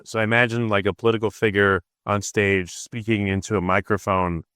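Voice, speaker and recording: Curious man with a smooth low voice. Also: fairly bright tone, no echo, studio quality. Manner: curious, enthusiastic, thinks aloud. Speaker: podcast host. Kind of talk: interview questions.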